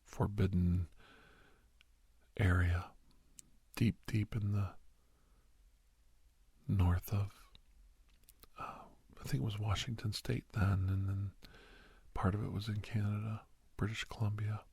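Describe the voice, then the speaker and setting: Husky, whispering low-voiced kindly older doctor, played by a man. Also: flat delivery, no echo, heavily acted. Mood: nostalgic. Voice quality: husky, breathy, gravelly whisper-talk. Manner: slow, rambling, gentle, chuckling storyteller. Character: kindly older male doctor, reminiscing and reassuring a youngster. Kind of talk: soft whispered ASMR roleplay monologue.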